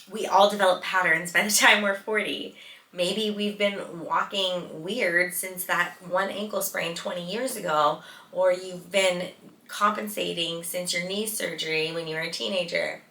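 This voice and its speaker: Bright-voiced woman podcaster, roomy echo. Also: on the low side, steady tone, unhurried. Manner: informal, emphatic, repeats words for stress. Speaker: podcast host, physical therapist. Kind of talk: casual solo podcast talk. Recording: roomy echo, distant from mic.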